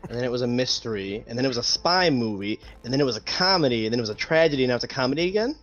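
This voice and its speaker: A man's voice: mid-range voice, animated, very fast, muffled recording, faint music behind. A man playing a tabletop roleplaying game, making casual joking comments on the action.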